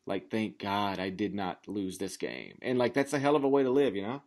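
A man's voice: lowish voice, expressive, fairly quick, a little mellow. An American man in his thirties hosting a sports podcast in casual chat, rambling emphatically and leaning on filler words like "like". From Memphis area, southern United States. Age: 30s. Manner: rambling, emphatic, says "like" constantly.

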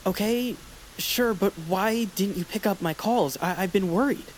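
A man's voice: high-pitched, measured pace, slight background noise, rough recording. A man playing an uneasy teenage boy in an audio drama, hesitant and awkward, trying to keep the peace. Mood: worried. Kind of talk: audio fiction drama acting.

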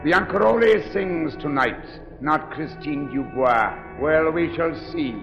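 An actor playing a brooding, obsessed and menacing phantom in 1940s radio style, muttering in slow, repetitive, drawn-out phrases. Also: male voice, fairly high pitch, lively intonation, gravelly, full character voice. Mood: brooding menace. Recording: muffled 1940s radio, long reverb, loud music.

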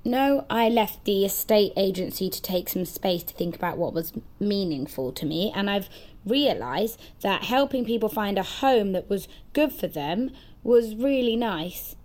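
A woman acting an earnest, naive, literal-minded companion in an audio drama, speaking in a simple, guileless, deliberate way. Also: mid-range voice, expressive, darkish tone, lightly acted. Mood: helpful, sincere. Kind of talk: naturalistic audio fiction acting.

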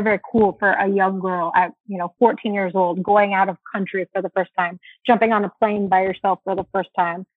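A young adult woman from the United States, talking casually as an interview guest, relaxed and chatty with many fillers and restarts. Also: mid-range voice, no echo. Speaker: interview guest, former soccer player. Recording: dry tinny phone-like line.

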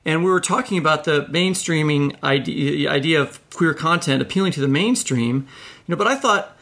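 A man hosting a podcast interview, talking in a conversational, halting way as he circles toward his question. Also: on the high side, bright, forceful tone. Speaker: podcast host.

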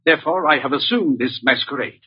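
A man's voice: medium pitch, brisk, husky. An actor playing a wealthy, self-important man who fears for his life, speaking stiffly and formally in an old radio drama. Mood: haughty, anxious. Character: wealthy, haughty, frightened male client in danger. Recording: muffled vintage radio drama recording.

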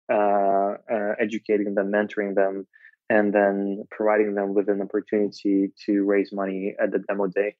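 A man, a startup founder answering interview questions in a halting way, with many filler sounds. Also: on the low side, no echo, lo-fi sound. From Russia.

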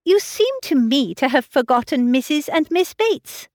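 A woman reading aloud voices a clever, tactful young woman with a brisk, teasing manner. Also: high-pitched, expressive, dry recording, clean studio sound, pitched above the performer's own voice. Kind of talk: audiobook character reading.

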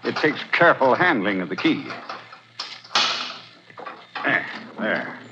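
A man's voice: medium pitch, big pitch swings, takes it slowly. An actor playing an earnest, scholarly male archaeology professor who explains things in a 1940s radio drama. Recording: dull 1940s radio recording, faint hiss.

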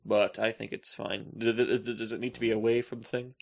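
A man's voice: on the low side, little pitch movement, fairly quick, creaky, background noise. A man co-hosting a podcast, chatting casually and opinionatedly in a rambling, exclamatory way. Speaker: podcast co-host.

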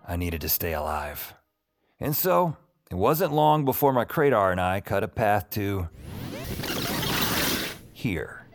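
An actor playing a wry, jaded 911 night-shift operator who narrates his own audio drama in a deadpan, sardonic way. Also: lowish voice, slow pace, long pauses, soft background music, heavily acted. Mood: wry narrating. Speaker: lead character and narrator. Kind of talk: audio drama acting with first-person narration.

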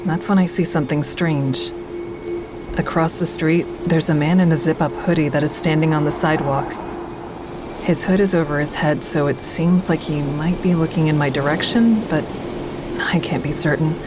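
A woman's voice: deep voice, moderate pace, noisy background, lightly acted. An actress playing a guarded, introspective woman who narrates her own story in an audio drama, with wry understatement. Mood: uneasy, inward. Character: guarded woman, a stand-up comic and crisis-line volunteer, narrating. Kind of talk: first-person audio drama narration and dialogue.

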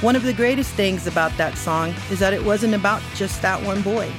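A woman narrating a scripted children's podcast story with animated, emphatic, expressive phrasing. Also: mid-range voice, brisk. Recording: music behind the voice.

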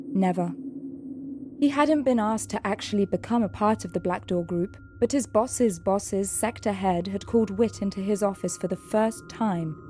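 A woman narrating a scripted audio drama in a measured, descriptive storytelling manner. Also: mid-range voice, background noise.

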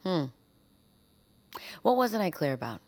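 A woman acting a weary, defiant survivor under interrogation in a naturalistic audio drama, firm and measured. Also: on the low side, very animated, darkish tone. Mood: guarded.

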